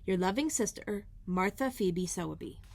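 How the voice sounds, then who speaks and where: A woman's voice: mid-range voice, animated, normal speed, darkish tone, a little hiss or hum, lightly acted. A woman voicing a friendly, helpful young housemaid with old-fashioned rustic phrasing in a storybook.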